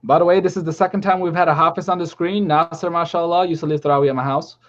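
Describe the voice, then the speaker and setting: A man's voice: fairly high pitch, fairly even intonation, fast. A man hosting a casual chat on a video call, rambling and chatty. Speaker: stream host. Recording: lo-fi call audio.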